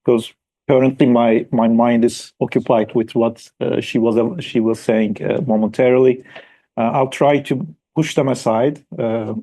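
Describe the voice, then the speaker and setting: A man's voice: mid-range voice, dark tone. A man, a historian, giving a conference paper in a hesitant way with many fillers. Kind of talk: academic conference talk. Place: conference room.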